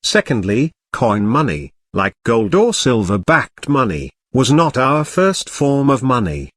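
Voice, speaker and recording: A man reads scripted explainer narration with a lively, rising and falling melody. He sounds formal and earnest, like he is teaching. The sound is dry, with very little room. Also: mid-range voice, unhurried, dry recording.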